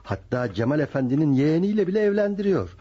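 An actor playing a bitter, grasping middle-aged husband in radio theatre, grumbling and insistent. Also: male voice, on the high side, sing-song, fast talker, husky. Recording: noisy, dull lo-fi radio recording.

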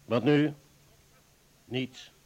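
An actor playing a grave, resolute comrade burdened by guilt, speaking deliberately in a radio play. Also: male voice, medium pitch, steady tone, darkish tone, muffled recording. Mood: flat, resigned.

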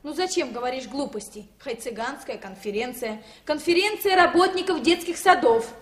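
A woman's voice: high voice, expressive, normal speed. A woman playing an outspoken, practical kindergarten teacher in a 1960s radio drama, blunt and no-nonsense. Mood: brisk, blunt.

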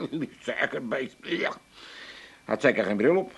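A man's voice: mid-range voice, lively intonation, slow pace, gravelly, fairly bright tone, breathy edge, heavily acted. An actor playing a cantankerous, mocking old father with an Amsterdam accent in a radio comedy. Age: older. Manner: blunt, mocking, teasing.

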